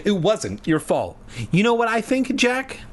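Give this one a high, swooping voice: a man playing a young girl in a storybook, whiny and pleading, quick to get bossy. She stretches for what she wants and sounds put out. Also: huge pitch swings, full character voice. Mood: worried. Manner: begging, demanding, impatient.

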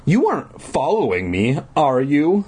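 An adult doing a teasing camp counselor, husky. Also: mid-range voice, lively intonation, normal speed, voice pushed up.

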